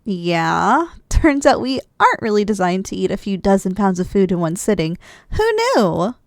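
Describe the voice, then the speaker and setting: Woman as sleepy, loving young-sounding wife for cuddly ASMR roleplay: soft, teasing, very animated pitch, close dry sound. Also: moderate pace, dry recording, natural voice. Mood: teasing, fond. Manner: soft, affectionate, teasing, with sighs and giggles. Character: affectionate, drowsy, playful wife cuddling after a big dinner. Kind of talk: ASMR roleplay, intimate one-sided dialogue.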